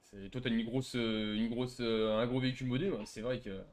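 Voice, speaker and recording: A man's voice: mid-range voice, steady tone, a little fast, darkish tone. A man arguing casually in online game voice chat, with a sarcastic, needling manner. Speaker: player trolling a roleplay game server.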